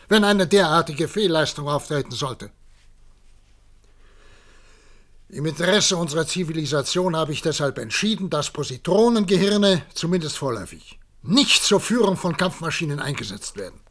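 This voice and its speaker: A stern male head of government in a science-fiction radio play. His voice sits a little high. He speaks in formal, emphatic, oratorical sentences with firm resolve. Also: a bit bright. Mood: grave warning.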